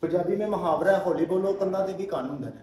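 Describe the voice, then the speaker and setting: A man's voice: high voice, fast talker, dark tone, far from the mic, slight background noise. Man guiding a tour in echoey stone halls. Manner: explanatory, asks if listener follows. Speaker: tour guide. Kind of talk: guided tour explanation. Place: inside an old stone fort, in and out of doors.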